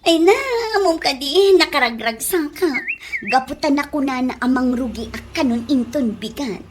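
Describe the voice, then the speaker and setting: A woman's voice: high voice, moderate pace, noisy background, natural voice. A woman with an Ilocano accent playing a cheeky, whiny, bossy young job-seeker in a comedy radio drama. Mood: eager. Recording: music bed under radio drama dialogue.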